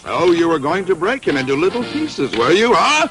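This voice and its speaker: An actor playing a stern village constable in a cartoon dub, scolding and authoritative. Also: male voice, a little high, very animated, normal speed. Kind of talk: animated cartoon dub acting. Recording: music behind the voice.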